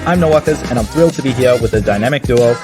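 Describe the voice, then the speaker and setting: Fast-talking man reading intro over music. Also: mid-range voice. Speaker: podcast host. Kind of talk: scripted show intro. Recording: loud intro music underneath.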